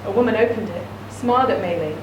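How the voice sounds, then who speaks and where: A woman's voice: medium pitch, fast talker. A woman reading a short story aloud at a live reading event, narrating steadily. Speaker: reader. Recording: echoey room, distant mic, noisy.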